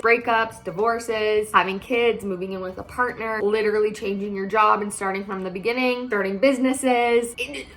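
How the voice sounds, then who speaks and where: A woman's voice: mid-range voice, a bit bright. A woman astrologer talking casually to viewers in a chatty, slangy explainer with playful asides. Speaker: astrologer explaining on her own video channel.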